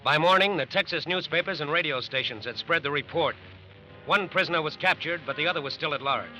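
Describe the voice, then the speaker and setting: An actor as the earnest, dramatic narrator of an old radio crime drama, emphatic and deliberate. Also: male voice, fairly high pitch, music in the background, natural voice, poor audio. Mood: urgent, factual. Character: earnest, dramatic male narrator of FBI manhunt. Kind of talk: scripted narration, 1940s-50s radio drama.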